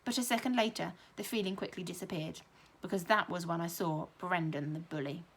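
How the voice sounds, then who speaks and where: A young woman reads a children's novel aloud. Her teacher's storytelling voice is lively and expressive. She lifts it higher and brighter whenever the young characters talk. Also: brisk, slightly rough. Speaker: primary school teacher.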